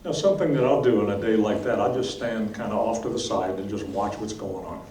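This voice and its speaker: A man's voice: lowish voice, brisk, mellow. A man teaching a beekeeping class, answering questions in a plain, practical way and often checking in with "okay?". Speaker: beekeeping instructor. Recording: distant mic in echoey room with faint noise.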